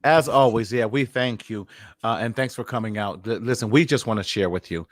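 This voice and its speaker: A man's voice: mid-range voice, fairly quick, no echo, studio quality. A man hosting a mental wellness show, talking to viewers in an affectionate, encouraging, motivational way. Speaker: podcast host. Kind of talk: warm motivational talk to online viewers.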